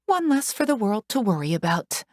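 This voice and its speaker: A woman's voice: medium pitch, animated, a little slow, a little mellow, no echo, studio quality, pitched above the performer's own voice. A woman voicing a cruel, menacing woman caretaker who threatens a patient.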